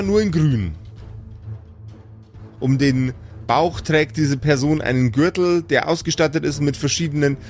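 Higher-voiced man narrating as game master. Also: a little mellow. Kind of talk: improvised narration of a tabletop fantasy audio play.

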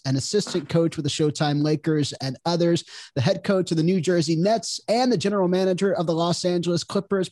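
A man's voice: fairly high pitch, darkish tone, no room sound. A man hosting a sports podcast, talking casually with a nasal, congested voice from a cold. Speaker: podcast host.

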